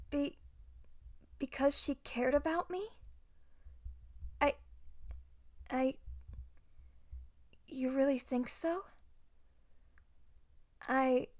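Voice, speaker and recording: A high, slow voice for a timid young Jedi fugitive, acted by a woman in an intimate audio roleplay. She is gentle and grieving, full of self-doubt, and her soft lines come out hesitantly, with pauses. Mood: hesitant, wistful. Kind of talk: audio roleplay acting, intimate ASMR-style monologue.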